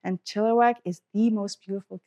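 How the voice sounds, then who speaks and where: A woman's voice: mid-range voice, fairly even intonation, fairly quick. A middle-aged woman from Pakistan, a dentist, telling her story in a casual interview while cooking, acting out what people said along the way. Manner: chatty, warm storytelling with quoted asides. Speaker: guest, dentist.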